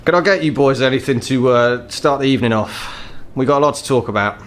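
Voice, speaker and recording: A man's voice: medium pitch, a little fast, a little hiss or hum, natural voice. A man voicing a big, downcast male troll who runs an inn, matey and anxious. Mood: welcoming.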